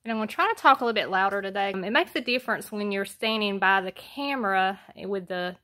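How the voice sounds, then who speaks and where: A soft-spoken woman with a Southern US accent, talking low and hesitantly with many ums as she shows a home project alone in front of her phone camera. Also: animated, fast. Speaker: homestead vlogger. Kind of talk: casual how-to vlog.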